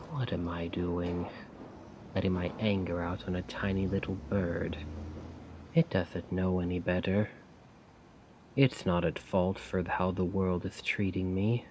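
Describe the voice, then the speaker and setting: A man playing a weary, self-doubting failed poet in a soft-spoken, rambling ASMR roleplay monologue. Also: low-pitched, level tone, slight background noise, rough recording. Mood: regretful. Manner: slow, brooding, rambling. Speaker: roleplay audio performer.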